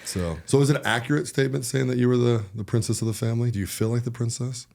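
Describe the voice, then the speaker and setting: A man's voice: medium pitch, rapid-fire, creaky, a little mellow. A man hosting a podcast, asking questions in a laid-back, joking way. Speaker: podcast host. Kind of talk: casual family interview.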